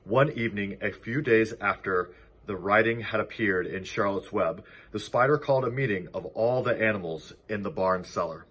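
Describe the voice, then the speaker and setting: A man's voice: lowish voice, normal speed, fairly bright tone, breathy edge. A man narrating a children's storybook as a read-aloud.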